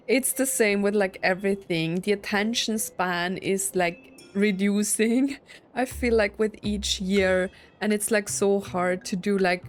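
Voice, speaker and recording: A young woman giving casual, informal Let's Play commentary on a story game, reacting as she goes. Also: medium pitch. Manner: reads game dialogue aloud and reacts informally. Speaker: gaming video host playing a story game.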